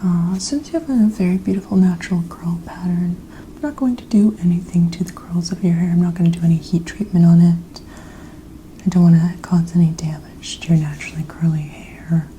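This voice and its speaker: A woman performing an ASMR personal-attention role-play, speaking in a gentle, soothing, attentive manner. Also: lowish voice, brisk, a little hiss or hum, rough recording.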